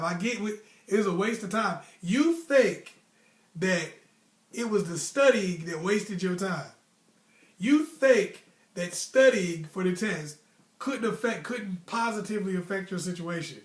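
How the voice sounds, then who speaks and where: A young American man telling personal stories casually and giving motivational advice, with an animated, emphatic, slangy delivery. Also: sits high. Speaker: storytelling host. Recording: roomy, echoey sound.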